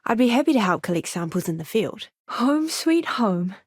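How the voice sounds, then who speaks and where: A young female medic and botanist on a starship crew in a video game. Her voice sits fairly high and is recorded very dry. She speaks politely and expressively, with an earnest, friendly temper. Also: sing-song, normal speed, breathy edge, no room sound. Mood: cheerful, curious. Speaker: ship's doctor and botanist.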